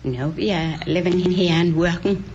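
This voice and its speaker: A woman in her sixties with a Danish accent, answering earnestly and a little haltingly as a contestant on an old broadcast. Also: fairly low pitch, darkish tone, dull audio. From Denmark. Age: 60s. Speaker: quiz show contestant. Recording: noisy old broadcast recording.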